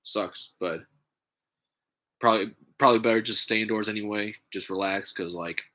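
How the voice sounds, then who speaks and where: A man talks in a casual, halting way, full of fillers and restarts. His nose sounds stuffy and congested. His voice sits fairly low but has a bright edge. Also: steady tone. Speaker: vlogger. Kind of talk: casual rambling vlog.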